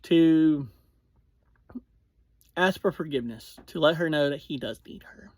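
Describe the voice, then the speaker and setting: A reviewer with a mid-range voice talks through a recap in a casual, informal way. The pace is slow, broken into short phrases with self-corrections. Listeners are addressed directly, and the sound is dry with no room echo. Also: medium pitch, darkish tone. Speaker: podcast reviewer.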